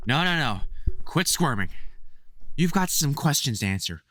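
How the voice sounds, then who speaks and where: An actor playing a gruff, protective vampire hunter who swears and stammers under worry in an audio roleplay. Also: medium pitch, big pitch swings, normal speed, fairly bright tone, slight background noise. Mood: angry, interrogating. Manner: sweary, urgent, stammering when worried. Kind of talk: audio roleplay drama spoken to the listener.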